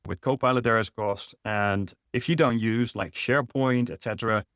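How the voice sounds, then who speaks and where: A man's voice: medium pitch, moderate pace, fairly bright tone, smooth, dry recording, clean studio sound. A middle-aged man from the Netherlands with a Dutch accent, presenting a business webinar in an explanatory way. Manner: explanatory, with frequent fillers and restarts. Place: online webinar.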